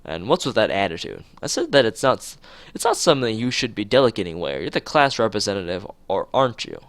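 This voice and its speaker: A man's voice: fairly low pitch, wildly swooping pitch, fast, no room sound. A man voicing a bossy, accusing schoolgirl from a visual novel in an emphatic, scolding manner. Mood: indignant. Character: bossy, accusing schoolgirl speaking for student council.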